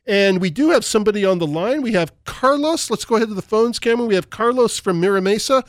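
A man's voice: sits high, lively intonation, fast talker, no echo, clean studio sound. Emphatic man hosting talk radio. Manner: emphatic, opinionated delivery. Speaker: radio talk show host. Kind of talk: talk radio commentary and reading listener emails. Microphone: studio microphone.

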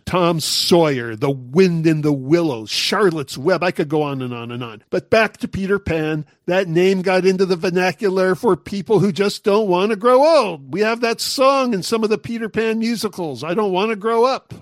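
A man's voice: high-pitched, no room sound, studio quality. A man of sixty-nine hosting a talk commentary monologue, making wry, sarcastic jokes. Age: 60s. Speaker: commentary host.

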